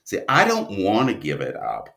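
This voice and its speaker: A man speaking as an interview guest, a writer telling stories conversationally in an animated but halting manner. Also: low voice, creaky. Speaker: guest, audio drama writer and producer.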